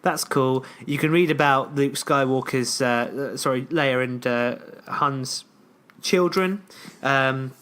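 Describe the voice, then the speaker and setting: A man's voice: mid-range voice, slow pace, a bit bright. A man co-hosting a podcast in casual chat, blunt, swearing freely and laughing often. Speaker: podcast co-host.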